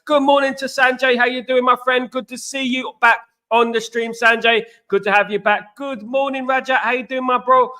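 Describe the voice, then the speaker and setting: A chatty young man from England hosts a casual livestream, greeting viewers by name and repeating his words. His voice sits high and sounds bright and forceful. He keeps a relaxed, talkative flow. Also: normal speed, hardly any pauses. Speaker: host.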